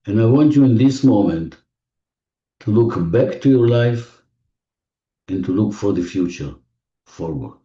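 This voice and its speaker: Very mellow, deep-voiced man guiding meditation. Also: lively intonation. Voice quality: non-native English phrasing. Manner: gentle instructions with repetition and deliberate pauses. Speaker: guided meditation leader. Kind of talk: guided visualization, spoken slowly to a listener. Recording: muffled, echoey room, distant mic.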